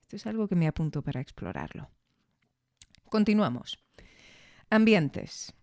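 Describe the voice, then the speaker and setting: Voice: medium pitch, very animated, measured pace, a little mellow, no echo, studio quality. A podcast host giving thoughtful, explanatory commentary on an audio drama. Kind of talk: reflective commentary.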